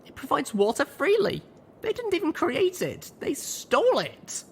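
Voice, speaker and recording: Fiery high-pitched young mare heroine. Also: male voice, huge pitch swings, measured pace, creaky, slight background noise, heavily acted. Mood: indignant.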